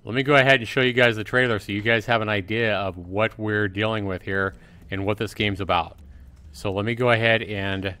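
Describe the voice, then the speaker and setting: A man's voice: on the low side, steady tone, fairly quick, sharp tone, clear, resonant tone. A man chatting casually to viewers as the host of a gaming livestream.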